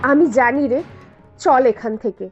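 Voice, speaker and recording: A woman's voice: high-pitched, a little slow, highs cut off, music in the background. A woman playing a poor but proud mother in a cartoon, earnest and easily hurt. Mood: worried, wounded pride. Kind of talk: cartoon voice acting.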